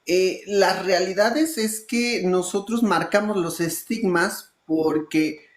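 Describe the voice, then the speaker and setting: A woman speaking as a panelist, animated and emphatic, with colloquial asides. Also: lowish voice, slow, reverberant. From Mexico. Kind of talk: panel discussion.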